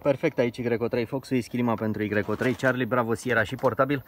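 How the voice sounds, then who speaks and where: A man, an amateur radio operator, speaking into a station microphone in a measured, technical way with small hesitations. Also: mid-range voice, steady tone, very noisy. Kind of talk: radio contact exchange.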